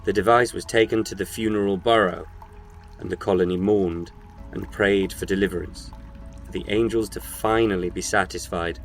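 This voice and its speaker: A man with a very low voice narrates a scripted story. He is solemn and measured, and faint music plays behind him. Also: fairly even intonation.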